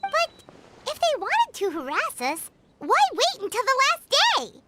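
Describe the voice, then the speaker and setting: A woman voicing a tiny, excitable, childlike fairy companion in an animated video game. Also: unhurried, very noisy, exaggerated voice. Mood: curious, earnest. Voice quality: squeaky, childlike. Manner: speaks of self in third person, exuberant.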